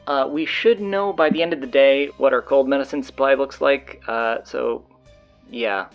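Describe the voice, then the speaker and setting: A man playing a flustered, sardonic stand-in high school announcer, rambling hesitantly and casually through audio drama announcements. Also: normal speed, muffled recording, soft background music, lightly acted. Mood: exasperated, casual.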